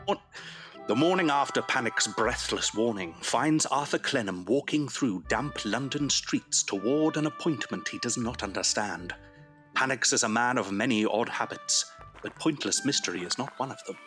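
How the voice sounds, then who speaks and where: Male storyteller with soft music behind. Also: mid-range voice, animated, normal speed. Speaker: narrator. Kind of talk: scripted literary storytelling narration.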